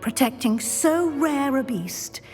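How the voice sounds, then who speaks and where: A woman playing a composed, gently stern school professor in a video game, speaking formally and with authority. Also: high voice, animated, takes it slowly. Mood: approving, probing. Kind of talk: video game character acting. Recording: long hall reverb, faint music.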